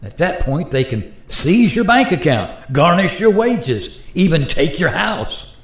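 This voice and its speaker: Animated, wry everyman played by a man. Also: fairly high pitch, measured pace. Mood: matter-of-fact warning. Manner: conversational, explanatory, wry. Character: resigned, plain-spoken modern American taxpayer, adult man. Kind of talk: radio play acting. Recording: roomy echo.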